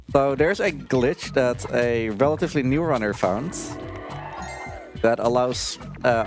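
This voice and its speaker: A man giving casual, chatty, self-deprecating live commentary while speedrunning a video game. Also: mid-range voice. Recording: live marathon stream with game audio. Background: game music.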